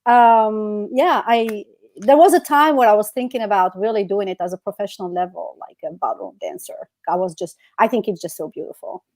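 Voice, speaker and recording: A woman co-hosting a podcast in casual chat, effusive and expressive in the way she talks. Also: medium pitch, a little fast. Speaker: podcast co-host.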